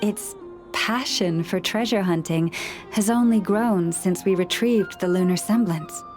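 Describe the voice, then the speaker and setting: Game librarian, gracious and patient woman , played by a woman, mid-range voice, clear game music behind. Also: mid-range voice, a little slow, lightly acted. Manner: calm, polite, softly formal. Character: gentle, courteous woman librarian and relic keeper who talks to animals. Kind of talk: video game voice acting. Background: game background music.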